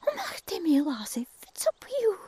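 A woman voicing a gruff, kindly male crow with a Scottish accent, in a storybook reading. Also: very high voice, wildly swooping pitch, moderate pace, warm tone, voice pushed up, exaggerated voice.

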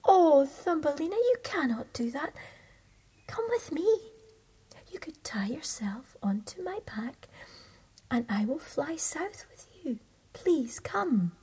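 A woman voicing a gentle, kindly male swallow in a soft whisper. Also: sits high, big pitch swings, slow, pitched above the performer's own voice, exaggerated voice. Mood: tender.

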